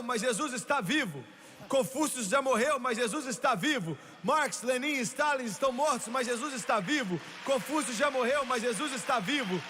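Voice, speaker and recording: A man delivers a fervent revival sermon at a very high pitch, with a bright, forceful edge. He shouts exultantly and drives his phrases home through repetition. His voice rings through a reverberant hall with a noisy crowd behind him. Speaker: preacher. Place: large reverberant hall with congregation.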